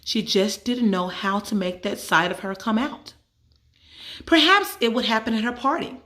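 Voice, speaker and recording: A woman narrates a children's story in a medium-pitched voice. She reads as a storyteller, phrasing each sentence with care and leaning on key words for dramatic emphasis. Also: normal speed, sharp tone. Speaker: storyteller reading a children's book aloud. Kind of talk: expressive scripted story narration.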